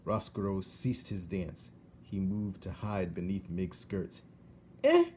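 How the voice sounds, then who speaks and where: A man narrates a storybook in a fairly low voice. He takes it very slowly, with measured phrasing and dramatic pauses, over faint background noise. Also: level tone, darkish tone. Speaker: read-aloud narrator.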